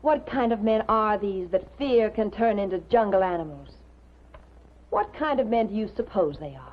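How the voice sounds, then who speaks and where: An American actress playing an icy, sharp-tongued young proofreader in a 1950s television drama, haughty, clipped and lecturing. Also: female voice, mid-range voice, lively intonation, normal speed, lightly acted. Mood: indignant lecturing. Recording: muffled, noisy 1950s television soundtrack.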